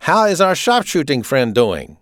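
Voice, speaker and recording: A menacing German camp commandant in an audiobook. The voice is pitched high and swoops widely, with clipped, staccato phrasing and a demanding, authoritarian edge. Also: male voice, wildly swooping pitch, measured pace, dry recording, clean studio sound.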